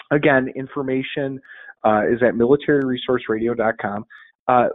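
A man guesting on a podcast, talking in a casual, upbeat way, often repeating words as he plugs his show. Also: medium pitch. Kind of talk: casual promotional interview talk. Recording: phone-like band-limited audio.

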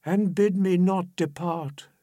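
Man reciting as impassioned, husky devoted noblewoman. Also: high-pitched, fairly even intonation, slow pace, mellow, no echo, studio quality, voice pushed up. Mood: pleading, defiant. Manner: impassioned, declamatory. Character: devoted, anguished noblewoman pleading to stay with her dying husband. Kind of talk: dramatic verse recitation.

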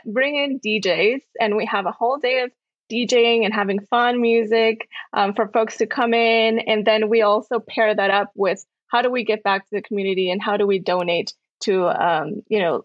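Woman guest from Peru, warm chatter with fillers, dull dry remote mic. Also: mid-range voice, no room sound. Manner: cheerful, laughing, halting with many fillers. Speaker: employee resource group site lead.

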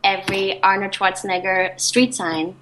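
A woman's voice: mid-range voice, flat delivery, moderate pace, a bit bright, slight background noise, rough recording. A woman from Austria with an Austrian accent, a guest on a podcast, chatting casually and asking questions. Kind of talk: casual chat.

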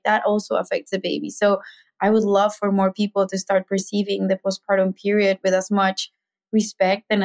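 A woman in her thirties with a Mexican accent talks as a podcast guest. Her voice sits at a middle pitch, and she keeps her speech loose and chatty, full of little fillers. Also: vocal fry, a little mellow. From Mexico City. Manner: chatty, many fillers like "like" and "you know". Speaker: guest, business founder. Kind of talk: casual podcast conversation.

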